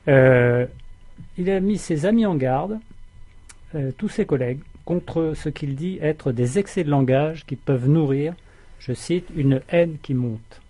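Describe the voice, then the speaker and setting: A man with a slightly high, mellow voice that carries a husky edge. He comments earnestly on a political radio talk show, stating his opinions in a measured manner.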